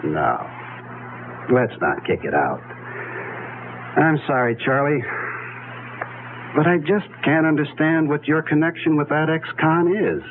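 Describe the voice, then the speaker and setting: An actor playing a blunt, sceptical district attorney, brisk and pressing with a sardonic edge, in 1970s radio drama style. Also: male voice, a little high, lively intonation, phone-quality sound. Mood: sardonic, reproachful. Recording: noisy, thin 1970s radio recording.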